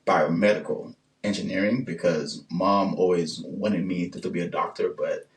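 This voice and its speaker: Deep-voiced Haitian man, roomy distant sound. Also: fairly even intonation, crowd noise. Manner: unhurried, many "um"s and repeated words, short laughs. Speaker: guest, engineer and business owner. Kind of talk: relaxed interview answers.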